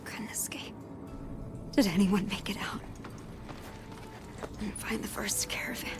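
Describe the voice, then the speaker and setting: An actor playing a dying trapped miner in a video game, speaking in a weak, breathless whisper. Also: male voice, sits high, a little slow, over music. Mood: fading.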